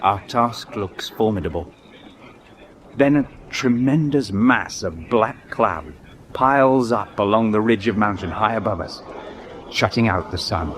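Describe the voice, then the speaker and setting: Reflective British expedition narrator, lowish voice, slow dramatic delivery over noisy ambience. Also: male voice, lively intonation. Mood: grave, suspenseful. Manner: measured, deliberate, suspenseful. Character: reflective British naval expedition member narrating his adventure. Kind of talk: dramatic first-person radio drama narration. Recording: clear background ambience noise.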